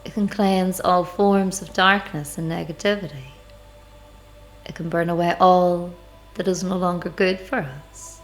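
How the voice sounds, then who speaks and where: A woman leading a devotional guided meditation, speaking in measured, deliberate phrases with pauses. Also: fairly low pitch, fairly even intonation. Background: background music.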